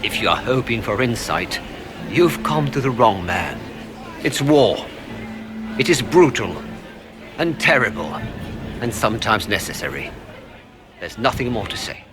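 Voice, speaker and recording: Older nobleman, grave and weary, animated intonation, fantasy game dialogue, loud music behind. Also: fairly low pitch, unhurried, pauses often. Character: older male nobleman, uncle of a grand duke, weary and grave. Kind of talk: video game dialogue acting.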